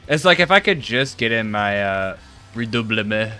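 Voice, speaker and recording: A man's voice: lowish voice, animated, normal speed, pushed and bright, clear, resonant tone. A man chatting casually and sometimes profanely while streaming an online game with friends. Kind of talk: casual gaming chat with friends. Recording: game music behind the voice.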